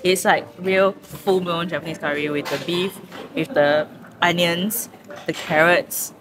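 A woman's voice: lowish voice, steady tone, measured pace. A young woman with a Singaporean accent chatting casually for a food vlog, dropping in Singlish particles. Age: young adult. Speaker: vlogger. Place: busy cafe and restaurant indoors. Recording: busy crowd chatter behind voice.

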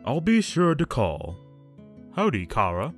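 A man's voice: mid-range voice, wildly swooping pitch, measured pace, soft background music. Guy doing a big kindly goat dad king, folksy, swoops all over, cartoon dub. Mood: kindly, mild. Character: big gentle fatherly goat monster king. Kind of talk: cartoon comic dub acting.

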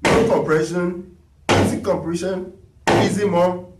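A man leads a live talking drum lesson, chatty and encouraging as he reads out requests. His voice sits high, and it sounds distant in an echoey room, picked up by a camera mic. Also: steady tone, measured pace, very noisy. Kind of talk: live-streamed music lesson.

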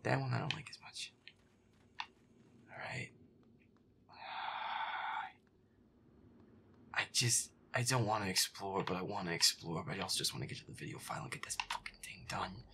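A man giving irritable, foul-mouthed gameplay commentary in a breathy, often whispered mutter. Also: low-pitched, measured pace, pauses often, bright, forceful tone. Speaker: gamer playing a horror game in character.

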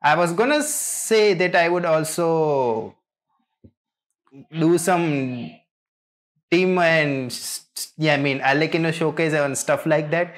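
Slow-paced man giving casual reaction commentary. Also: a little high. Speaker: reaction video host.

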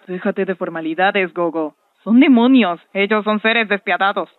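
A woman's voice: medium pitch, normal speed, a little hiss or hum, lightly acted. A woman voicing a sarcastic, brash half-demon big sister in a cartoon fandub, with a Latin American Spanish accent. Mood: scornful. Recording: thin phone-like audio with music behind.

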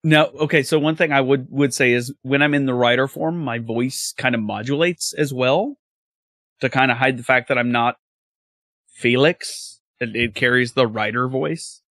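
A man's voice: mid-range voice, moderate pace, fairly bright tone, no room sound, studio quality. A man playing in a tabletop game, describing his character in a rambling, hesitant way with easy laughs. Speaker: player. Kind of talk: casual tabletop roleplay chat.

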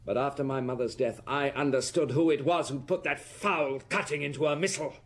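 An actor playing a remorseful aristocratic prodigal son in British radio drama, formal and confessional, with a British accent. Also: male voice, mid-range voice, brisk, natural voice. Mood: ashamed, confessional. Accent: British English. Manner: formal, confessional, self-reproaching.

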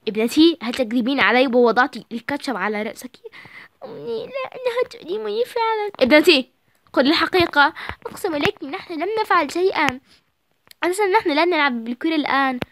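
A woman voicing a stern mother who loudly scolds her two daughters. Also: high-pitched, animated, very fast, hardly any pauses. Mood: scolding. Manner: loud, scolding.

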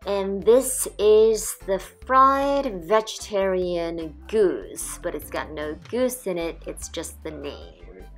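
A woman calmly narrating a restaurant food review as a voice-over, describing each dish in a measured way. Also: lowish voice. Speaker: food vlogger.

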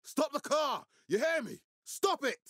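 An actor playing an authoritative, blunt militia leader with a gravelly voice in a zombie apocalypse video game. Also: male voice, extremely high pitch, lively intonation, a little slow, fairly bright tone, no room sound, studio quality, full character voice. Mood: furious, shouting orders. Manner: authoritative, urgent, giving blunt orders. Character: commanding, hard-swearing male militia leader in a zombie apocalypse. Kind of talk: video game dramatic acting.

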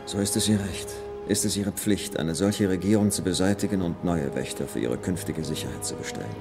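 An actor in a German film dub playing an earnest, determined treasure hunter with a measured, serious manner. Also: male voice, low-pitched, lightly acted. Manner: measured, serious, hesitant when explaining.

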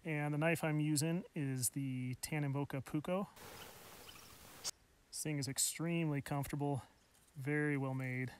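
Middle-aged guy vlogging his camp gear outside, slightly high voice, chatty, pokes fun at himself, faint hiss behind. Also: steady tone, a little slow, long pauses. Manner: chatty, self-deprecating, explains gear step by step. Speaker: outdoors channel host. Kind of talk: casual bushcraft vlog narration. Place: outdoors at a mountain campsite. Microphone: camera mic, body cam and camera on a tripod.

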